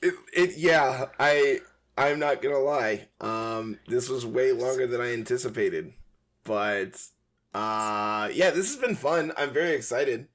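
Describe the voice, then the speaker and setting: A man's voice: medium pitch, measured pace. A man co-hosting a casual podcast, chatty and loose with lots of fillers, his voice starting to wear out. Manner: chatty, relaxed, many fillers. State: voice going hoarse. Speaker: podcast co-host. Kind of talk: casual podcast chat and sign-off.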